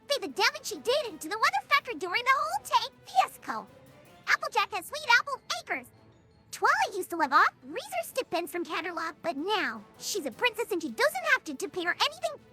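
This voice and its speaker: A woman voicing an excitable, frantic young female cartoon pony in a dramatic, insistent way for a fan audio drama. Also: very high voice, brisk, faint music behind, exaggerated voice. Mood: rambling, earnest.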